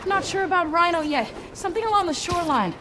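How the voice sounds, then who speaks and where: High, animated young superheroine. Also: female voice, fast, background noise. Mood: earnest, tired. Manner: quippy, animated. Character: wisecracking young female superhero, earnest. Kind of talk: video game voice acting. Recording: voice with music behind.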